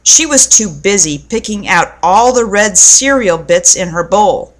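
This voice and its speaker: A woman reading a children's storybook aloud to young listeners in a deliberate, explanatory way. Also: medium pitch, animated.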